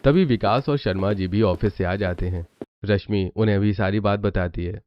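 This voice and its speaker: A man's voice: fairly low pitch, moderate pace, dry recording, muffled recording. A man reading scripted narration for a cartoon family story.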